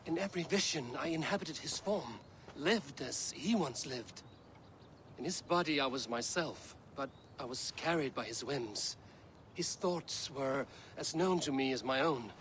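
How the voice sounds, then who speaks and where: An actor playing a solemn, intense Norse warrior in a cinematic video game, speaking in grave, deliberate, formal phrases. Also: male voice, mid-range voice, expressive, fairly bright tone, slight background noise. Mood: awed, solemn. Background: music.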